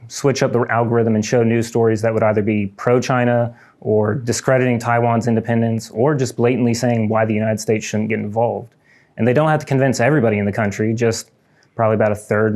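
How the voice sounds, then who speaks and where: A man's voice: medium pitch, steady tone, a little fast, darkish tone. A young man from Ukraine giving a prepared student lecture in a lecture room, earnest and reading from notes with small restarts.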